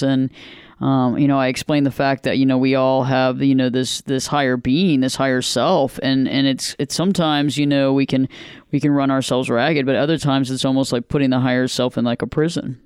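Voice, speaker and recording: A man's voice: mid-range voice, smooth, no room sound, clean studio sound. A man hosting a conversation, a therapist who talks earnestly and emphatically, acting out inner voices. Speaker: podcast host and therapist. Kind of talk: casual conversation.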